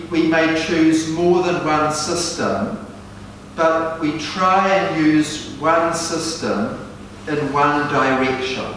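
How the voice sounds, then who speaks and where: A man in his sixties with a New Zealand accent, giving a university seminar lecture slowly and deliberately. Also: fairly high pitch, a bit bright, a little hiss or hum. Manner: slow, deliberate delivery. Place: large lecture hall. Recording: echoey lecture hall from far off.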